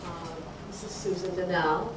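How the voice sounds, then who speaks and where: A woman's voice: on the low side, steady tone, normal speed. An older woman, a volunteer tutor, speaking in an easygoing, casual way. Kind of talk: casual remarks. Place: community room, at a podium. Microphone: podium mic, far camera. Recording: echoey room from far off, noise.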